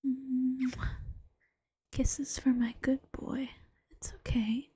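A doting bunny-girl girlfriend, played by a woman, coaxes and teases in a slow, breathy whisper. Her hushed tone is dark and mellow, pitched a little high. The sound is close and dry, with no room around it. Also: fairly even intonation, dry recording, exaggerated voice. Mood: teasing, coaxing. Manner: slow, coaxing and teasing. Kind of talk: ASMR roleplay.

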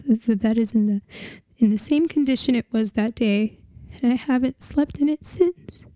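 A young woman playing a grieving partner in a dramatic monologue, with a halting, tearful delivery full of restarts. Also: fairly high pitch, dark tone, no room sound, close to an everyday voice.

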